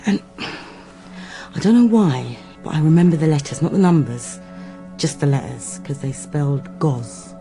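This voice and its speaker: An actress playing a soft-spoken, candid woman confiding intimately in a radio drama, with a low, mellow voice. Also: animated, unhurried, soft background music. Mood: certain, intimate.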